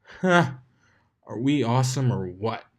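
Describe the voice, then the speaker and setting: A man's voice: mid-range voice, very animated, takes it slowly. A man voicing a laid-back, boastful young male pegasus pony with casual phrasing for a fan fiction reading. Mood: breezy, eager. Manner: casual, dude-like.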